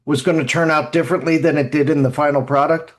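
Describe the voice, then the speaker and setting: A man hosting a casual group podcast chat, talking in a halting way and often repeating his words. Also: on the high side, steady tone. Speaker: podcast host.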